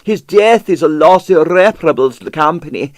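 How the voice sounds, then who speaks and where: A male narrator puts on a preening Russian ballet dancer from a storybook. He fawns and gushes theatrically, admiring himself as he goes, and his pitch leaps about in a very dry recording. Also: lively intonation, brisk, no room sound. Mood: gushing, vain. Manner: effusive, theatrical, self-regarding. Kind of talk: acted audiobook character.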